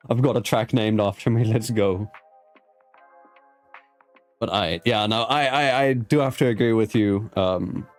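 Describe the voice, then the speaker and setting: A man from South Africa with a South African accent, whose first language is Afrikaans, hosting a casual interview and chatting in a rambling way with many restarts. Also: lowish voice. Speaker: host. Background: soft background music.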